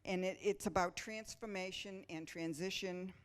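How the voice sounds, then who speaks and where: A woman's voice: on the low side, fairly even intonation, moderate pace. A woman presenter informally introducing a reader's theater piece to a gathering. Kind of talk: informal spoken introduction to an audience.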